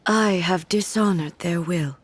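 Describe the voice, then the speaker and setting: A woman playing a solemn, dignified immortal ruler and warrior in a video game, speaking in a formal, measured, stately way. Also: lowish voice, slightly rough. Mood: regretful, reflective. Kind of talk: scripted video game voice acting. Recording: music behind the voice.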